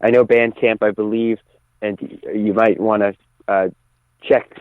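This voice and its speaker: A man's voice: fairly low pitch, little pitch movement, a little slow, no room sound. Man on a tinny phone line. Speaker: band singer, phone-in guest. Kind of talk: casual phone interview. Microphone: phone call.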